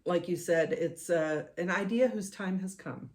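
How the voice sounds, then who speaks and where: A woman's voice: fairly low pitch, a little mellow. Soothing middle-aged woman, casual chat. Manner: soothing, measured. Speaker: podcast co-host.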